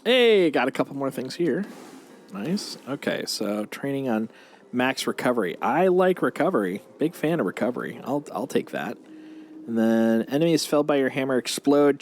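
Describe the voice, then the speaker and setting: A man's voice: medium pitch, big pitch swings, normal speed, slight background noise. A man chatting casually and playfully with friends as he streams a game live. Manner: playful, banters and mock-pleads. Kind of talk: casual gameplay chat with friends.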